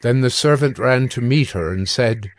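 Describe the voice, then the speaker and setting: A man narrating a dramatized scripture reading in a measured, formal, scripted style. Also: medium pitch, no room sound.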